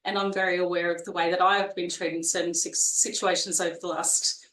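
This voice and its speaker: A woman in her forties with a broad Australian accent talks through her career in an easy, rambling way. She fills her pauses and restarts her sentences often, and her voice carries a noticeable room echo. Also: fairly low pitch, level tone, fairly bright tone. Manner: chatty, with frequent fillers and restarts. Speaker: guest perioperative nurse. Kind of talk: casual interview conversation.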